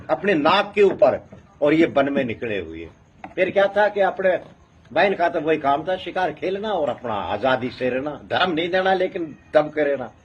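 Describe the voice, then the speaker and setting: A man's voice: on the high side, slightly rough, dull audio. A man with a rural Haryana-Rajasthan accent telling oral history as a traditional community storyteller, emphatic and repeating phrases in a building chant. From North India.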